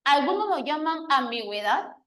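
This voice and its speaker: A woman's voice: high voice, sing-song, moderate pace, a bit bright. Woman teacher explaining grammar, checking in often. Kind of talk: online exam-prep class lecture.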